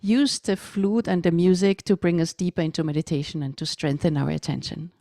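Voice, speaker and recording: A woman's voice: on the low side, steady tone, fairly quick, creaky, mellow, no echo. A woman from Austria, speaking English as an on a video call, earnestly sharing her experience with meditation. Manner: earnest, slightly non-native phrasing. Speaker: meditation guide and flute player. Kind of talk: casual personal sharing.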